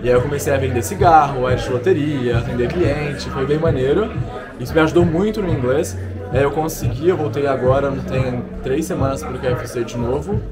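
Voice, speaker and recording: A man's voice: medium pitch, brisk, a loud crowd. A young man from Minas Gerais, an exchange student chatting and joking about his life abroad in a pub. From Minas Gerais, Brazil. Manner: jokey, chatty, self-mocking. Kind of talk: casual interview. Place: pub, indoors.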